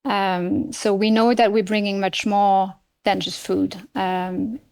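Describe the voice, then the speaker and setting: A middle-aged French woman, a nonprofit CEO, answering interview questions with a French accent, speaking in a measured way with frequent fillers and restarts. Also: medium pitch, level tone, darkish tone, clear, resonant tone. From France. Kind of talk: podcast interview.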